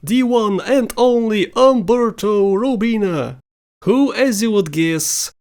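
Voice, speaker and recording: A woman's voice: mid-range voice, slow, darkish tone. A woman delivering scripted narration as the host of a video countdown.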